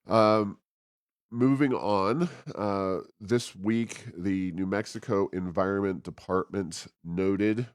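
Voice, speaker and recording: Deep-voiced man discussing policy. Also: slow pace, smooth, no room sound, clean studio sound. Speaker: policy talk show host. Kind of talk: unscripted policy commentary.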